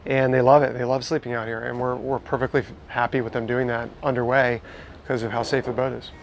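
A man in his thirties shows off his boat in an enthusiastic, explanatory way, often restarting his sentences. His voice sits at an average pitch. Faint background noise runs under his lapel mic. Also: flat delivery, a little fast. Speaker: boat owner giving a tour. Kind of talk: casual walkthrough tour. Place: inside a catamaran saloon, docked.

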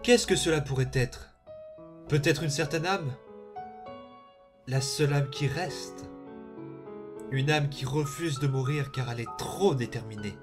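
Villainous cartoon boy, played by a man: sinister, condescending, coldly commanding, deliberate delivery, music behind. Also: medium pitch, lightly acted. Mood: taunting, threatening. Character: menacing, power-hungry undead boy, coldly commanding. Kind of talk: amateur comic dub acting.

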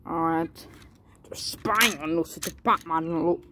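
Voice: mid-range voice, unhurried, background noise. A person giving casual, rambling commentary with crude, sweary, jokey muttering, recorded outdoors on a handheld phone. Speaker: amateur video maker. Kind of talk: casual rambling commentary while smashing a toy. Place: outdoors on pavement beside a brick wall. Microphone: handheld phone close to the speaker.

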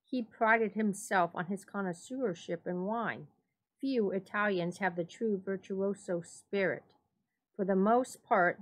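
A woman narrating a classic story as a dramatic reading, measured and deliberate. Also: mid-range voice.

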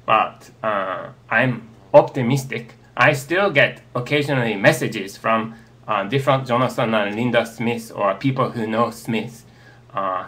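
A man in his thirties whose first language is Japanese, answering audience questions on a video call in a slow, hesitant way with many fillers. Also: mid-range voice, bright, forceful tone. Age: 30s. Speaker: interview guest. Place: home study, indoors. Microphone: earbud headset on a video call.

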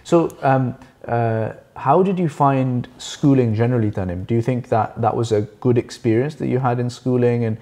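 A man's voice: medium pitch, moderate pace, hardly any pauses, dark tone. A man in his thirties hosting an interview, asking questions in an easygoing, reactive way from a sofa in a living room. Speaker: interview host. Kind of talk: casual interview chat. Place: living room of a furnished house, seated on a sofa.

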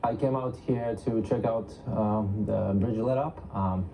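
A man in his thirties, from Ukraine, speaks hesitantly with many ums and uhs in an outdoor interview at night. His voice is low and very dark. It is heard off a television with faint hiss. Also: measured pace, gravelly, low-quality recording. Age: 30s. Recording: TV audio recorded off a speaker.

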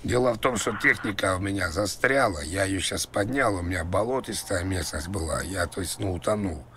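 A man's voice: low voice, a little fast, pushed and bright, a little hiss or hum. A man playing a confused stranded father and driver on a prank call, rambling and repeating himself hesitantly. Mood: rambling, worried. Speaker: prank caller, game streamer.

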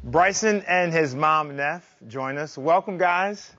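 A man's voice: on the high side, slow pace, bright, forceful tone, highs cut off, slight background noise. A middle-aged man hosting a TV talk show from a studio set, enthusiastic and encouraging as he interviews guests.

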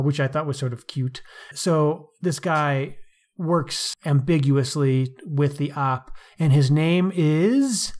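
A man's voice: a little high, darkish tone. A man co-hosting a podcast, discussing books casually in an articulate, wry way. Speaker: podcast co-host.

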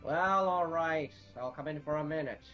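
An actor playing a stubborn young small-town man in a radio drama, halting and grudging. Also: a little high, highs cut off, faint music behind, close to an everyday voice. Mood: awkward, grudging.

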